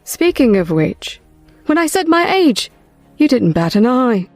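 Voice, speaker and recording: An actress playing a brisk, wry, long-lived woman who retrieves lost books in an audio drama, with a clipped, assured delivery. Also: high-pitched, very animated, no room sound, faint music behind. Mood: dry, assured. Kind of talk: full-cast audio drama acting.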